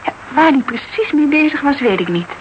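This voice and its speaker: Dull, faintly noisy radio play recording of an earnest young woman. She speaks in a fairly high voice, eager and confiding, as a client offering her help. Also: lively intonation, a little fast. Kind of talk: Dutch radio drama acting.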